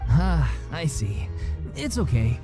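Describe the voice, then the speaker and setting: A man's voice: mid-range voice, big pitch swings, slow. A man voicing an earnest, wistful young member of an amateur theater troupe in an anime game. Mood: resigned. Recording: music under the voice. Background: music.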